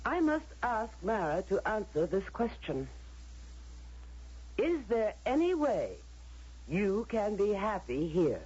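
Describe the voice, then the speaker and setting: Slow, husky, merciless woman council leader. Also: mid-range voice, big pitch swings, darkish tone, full character voice. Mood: solemn, merciless. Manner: formal, measured, pronouncing. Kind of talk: old-time radio drama acting. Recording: dull lo-fi radio drama recording.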